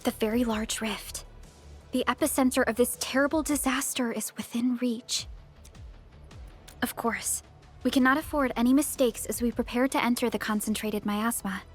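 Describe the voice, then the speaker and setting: Casting: earnest female game officer, breathy and fairly high, courteous. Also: steady tone, moderate pace, faint music behind. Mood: serious, helpful. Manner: formal, articulate. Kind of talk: video game voice acting.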